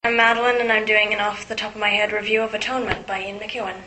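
A woman's voice: mid-range voice, flat delivery, fast, sharp tone. A teenage girl talking casually and off the top of her head about books. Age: teen. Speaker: teen book reviewer. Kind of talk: off-the-cuff casual talk. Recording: echoey room, faint noise.

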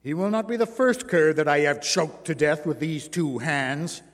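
A man's voice: a little high, animated, normal speed, close to an everyday voice. A man reading a story aloud, voicing a boastful, contemptuous circus bareback rider who bellows his insults. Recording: long hall reverb.

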